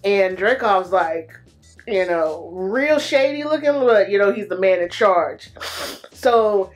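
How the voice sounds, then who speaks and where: A woman with a mid-range voice tells a movie plot in a loose, chatty style, slangy and lively, tossing in playful exclamations. Soft music plays underneath her. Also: mid-range voice, slow pace. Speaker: movie reviewer. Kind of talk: casual movie recap.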